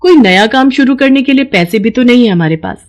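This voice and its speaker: A woman's voice: fairly high pitch, lively intonation, a little fast, pitched above the performer's own voice, natural voice. Woman playing a worried, sensible village wife. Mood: earnest, urging. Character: worried, sensible wife of a poor flower seller. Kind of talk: Hindi cartoon moral story.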